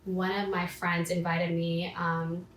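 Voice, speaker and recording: A woman in her twenties tells her story casually and acts out her own past self-talk. Her voice sits a little low for a woman. The room is echoey, with a faint hiss underneath. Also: little pitch movement, slow. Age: 20s. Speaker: podcast guest.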